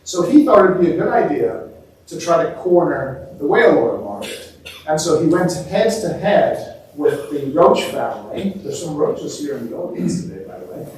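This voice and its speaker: A man's voice: a little high, very animated, normal speed, a little mellow. A man presenting an informal history lecture to an audience, telling stories conversationally and posing rhetorical questions. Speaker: museum talk presenter. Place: indoor museum talk before a live audience. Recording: very echoey room, distant mic, faint noise.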